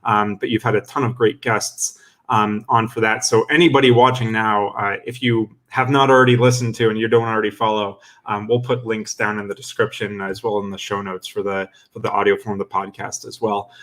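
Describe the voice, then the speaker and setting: A man's voice: lowish voice, level tone, a little fast, fairly bright tone. A young adult man hosting a live-streamed interview webinar over a video call, enthusiastic and full of fillers and restarts. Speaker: webinar host.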